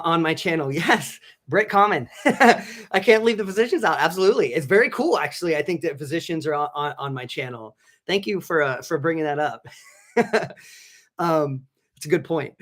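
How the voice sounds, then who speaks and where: A man working as a physician assistant, slightly raspy from allergies, hosting a casual live stream and rambling through many restarts while reading out viewers' names. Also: fairly high pitch, a bit bright. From Southern California. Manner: rambling, many restarts and fillers, reads chat names aloud. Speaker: physician assistant and channel host.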